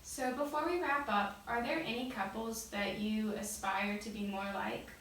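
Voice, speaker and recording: A woman's voice: medium pitch, steady tone, normal speed, a bit bright. A woman student conducts an interview, reading out findings and asking questions. She sounds distant, in a very reverberant room with a faint bed of noise behind her. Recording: very echoey room, far mic, faint noise.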